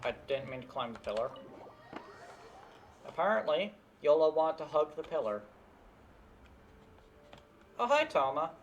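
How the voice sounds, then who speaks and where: A man's voice: a little high, big pitch swings, measured pace, long pauses, muffled recording, slight background noise. A man giving casual, offhand playthrough commentary as a game streamer, with a dry manner.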